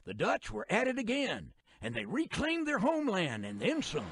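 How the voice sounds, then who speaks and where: An actor playing a folksy, cheerful old-timer with a rural Western American accent, narrating a video game cutscene in a gravelly, drawling storyteller's way. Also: male voice, high voice, huge pitch swings, normal speed, fairly bright tone, no room sound, heavily acted. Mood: wry storytelling. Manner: colloquial drawling storyteller, exaggerated.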